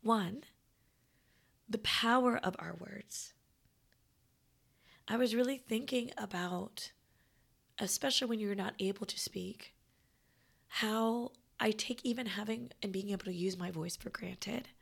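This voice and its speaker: A woman hosting a podcast, her voice hoarse and raspy after losing it. She talks in a halting, breathy half-whisper, and a gravelly fry runs through her mid-range voice. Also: medium pitch, fairly bright tone. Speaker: podcast host. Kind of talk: casual reflective monologue.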